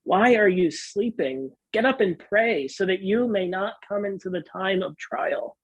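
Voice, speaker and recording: A pastor reads scripture in a solemn, dramatic style, with earnest and deliberate delivery. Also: medium pitch, slightly rough, no echo.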